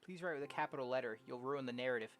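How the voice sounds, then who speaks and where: A young adult game streamer casually reading visual novel dialogue aloud, jokey and prone to loud exclamations. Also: medium pitch, racing, no echo. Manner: animated, jokey, exclaims loudly. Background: game music.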